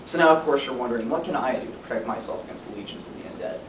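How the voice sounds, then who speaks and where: A young man giving an informative student speech in a conversational way with deadpan humor. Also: very fast. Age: young adult. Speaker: student speaker. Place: classroom. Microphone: distant camera microphone. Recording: distant mic, echoey room, noisy rumble.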